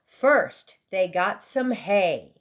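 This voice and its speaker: A woman reading a children's storybook aloud expressively, with deliberate, dramatic emphasis for young listeners. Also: mid-range voice, sing-song. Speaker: storybook reader.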